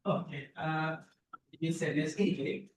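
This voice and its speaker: A man from Sri Lanka, a professor speaking up from the audience at a public event. He pauses often, with fillers and restarts. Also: fairly high pitch. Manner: halting, with many fillers and restarts.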